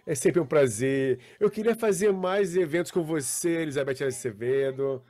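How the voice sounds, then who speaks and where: A man, interpreting live over a video call in a halting, self-correcting way. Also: fairly high pitch, darkish tone. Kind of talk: live interpretation for an online panel.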